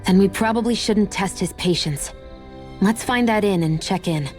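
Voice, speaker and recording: An actress voices a cool, critical young woman in a video game. Her mid-pitched delivery is stern and businesslike, with faint music behind. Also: natural voice. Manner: brisk, businesslike. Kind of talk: dramatic video game acting.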